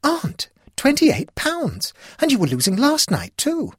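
A man's voice: fairly high pitch, wildly swooping pitch, fairly quick, husky, dry recording, studio quality, voice pushed up, heavily acted. A man doing a chorus of fussy young nieces. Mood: shocked. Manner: coaxing and fussy.

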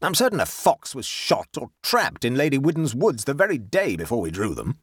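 A morose English major, performed by a male storybook narrator, whose pitch moves up and down freely as he grumbles. He is a gloomy hunting man who complains and repeats himself. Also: very animated, a little fast, a bit bright, breathy edge, dry recording, studio quality, exaggerated voice. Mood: moody, grumbling.

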